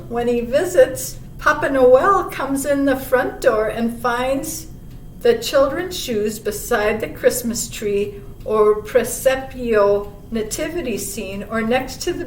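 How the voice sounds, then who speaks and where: A woman reading a children's picture book aloud as a library storyteller, pausing for chatty asides and questions to young listeners. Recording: roomy, distant mic with clear low rumble noise.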